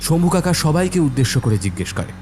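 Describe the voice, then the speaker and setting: A man's voice: on the high side, very animated, quick pace, darkish tone, faint music behind. A man narrating a scripted horror cartoon as a dramatic storyteller.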